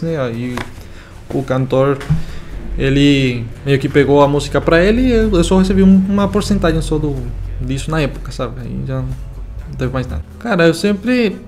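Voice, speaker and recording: A nineteen-year-old man from Venezuela, a Spanish native speaker with a Venezuelan accent, telling his story as a songwriter in a relaxed, halting way full of fillers. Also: on the high side, soft background music. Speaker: young songwriter. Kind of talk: casual interview answers.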